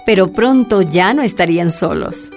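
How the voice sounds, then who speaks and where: Una mujer con acento boliviano narra con gravedad una radionovela sobre la lucha minera. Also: female voice, medium pitch, expressive, normal speed, a little mellow, faint music behind, lightly acted. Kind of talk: scripted narration of a radio drama.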